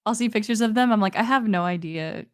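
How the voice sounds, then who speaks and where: A woman's voice: medium pitch, fast, no echo, clean studio sound. A young woman, co-hosting a podcast, chatty and laughing often as she tells stories. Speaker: podcast co-host. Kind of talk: casual chat.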